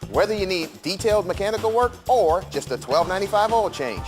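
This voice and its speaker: Casting: upbeat man voicing a car dealership ad, slightly high, lively pitch, clear music bed behind. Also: quick pace. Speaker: ad announcer.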